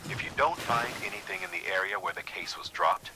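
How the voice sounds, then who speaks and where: An actor playing a gruff, businesslike mission briefer in a video game, measured, with a gravelly, breathy undertone. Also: male voice, sits low, noisy background, close to an everyday voice.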